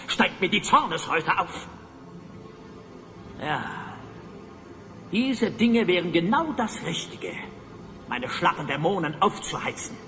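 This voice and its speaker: An actor playing a pompous, brooding evil sorcerer in a German audio drama, speaking in a theatrical, grandiose way. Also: male voice, on the high side, creaky, sharp tone, full character voice. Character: pompous evil sorcerer, self-styled Master of Time. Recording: long reverb, music underneath.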